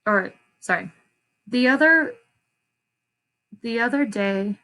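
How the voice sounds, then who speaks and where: A woman reading a children's story aloud as an educator, pausing to ask questions and explain things to young listeners. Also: fairly high pitch, lively intonation, sharp tone.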